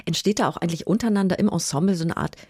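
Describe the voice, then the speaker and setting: Female radio interviewer, slightly low and husky, conversational, recorded dry. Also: rapid-fire, darkish tone, no room sound.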